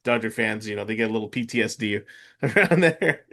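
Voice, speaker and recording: A man's voice: fairly low pitch, expressive, brisk, a bit bright. An American man hosting a casual sports interview podcast, agreeable and given to short responses. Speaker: podcast host.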